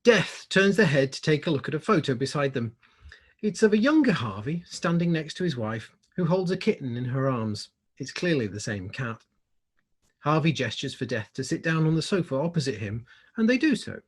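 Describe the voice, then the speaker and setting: A man narrates screenplay directions in a husky, slightly high voice. The delivery is scripted and descriptive. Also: sing-song, normal speed. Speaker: narrator reading stage directions.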